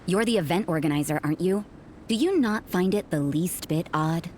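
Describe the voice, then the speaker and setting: Woman acting a low-voiced, aloof, sardonic nun. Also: darkish tone, slight background noise, lightly acted. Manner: dry, dismissive, unhurried. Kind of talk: video game voice acting.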